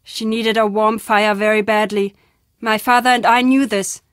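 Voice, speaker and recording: An actress playing a very old, playful, wistful woman storyteller in a video game, speaking theatrically in unhurried phrases with dramatic pauses. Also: mid-range voice, fairly even intonation, clean tone, dry recording, studio quality, natural voice. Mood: grave, reminiscing. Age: older. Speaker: game character.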